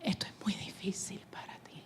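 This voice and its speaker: A woman from New Jersey giving a preaching testimony at a conference, moving from loud exhortation to hushed whispers. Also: normal speed. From United States (New Jersey). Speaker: conference speaker. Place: conference hall stage.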